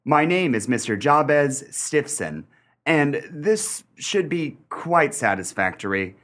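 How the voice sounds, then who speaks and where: An actor playing a timid, fussy gentleman in a radio play, stammering and hesitating with formal wording. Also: fairly high pitch, sing-song, takes it slowly, exaggerated voice. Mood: stiff, hesitant.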